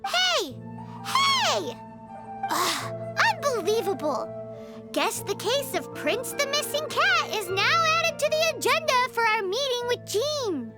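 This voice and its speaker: A woman voicing a tiny excitable female companion creature who speaks of herself in the third person, in game dialogue over music. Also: takes it slowly, heavily acted. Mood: exasperated. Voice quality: high childlike chirpy voice. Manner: talks about herself in third person, exclaiming.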